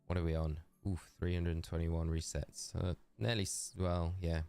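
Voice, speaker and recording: A man's voice: deep voice, flat delivery, normal speed, gravelly, mellow, clear, resonant tone, soft background music. A man with a Geordie accent chatting casually and rambling on a live game stream, talking to his chat.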